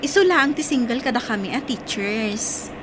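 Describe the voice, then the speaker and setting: A woman's voice: fairly high pitch, lively intonation, moderate pace, lightly acted. A woman acting in a radio drama, playing a playful, teasing schoolteacher. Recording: loud background noise.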